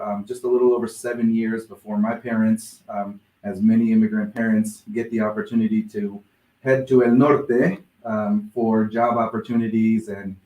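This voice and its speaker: Lower-voiced man in an echoey room. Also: warm tone. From born in Mexico, raised in Nebraska, USA. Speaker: interview guest, middle school principal. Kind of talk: conversational personal storytelling. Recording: roomy, echoing video call sound.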